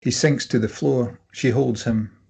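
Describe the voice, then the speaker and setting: A man's voice: medium pitch, fairly even intonation, unhurried, creaky, warm tone, husky. A man reading stage directions as scripted narration at a table read.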